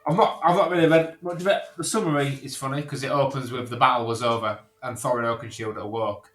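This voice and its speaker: A man's voice: mid-range voice, steady tone, fast. A man bantering casually as a podcast co-host, with a sweary, jokey way of talking. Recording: roomy, echoey sound.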